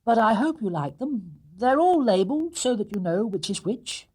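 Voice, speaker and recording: Man voicing an earnest little storybook bear, lifted pitch. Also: sing-song, normal speed, dark tone, slightly rough, exaggerated voice. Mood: modest, earnest. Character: earnest, polite young male bear, childlike and apologetic.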